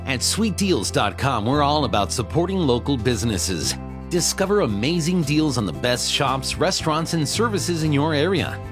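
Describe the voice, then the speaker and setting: A man's voice: fairly high pitch, very animated, normal speed, over music. A man reading a scripted shop-local ad in a friendly, upbeat manner. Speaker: ad announcer. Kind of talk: scripted ad read.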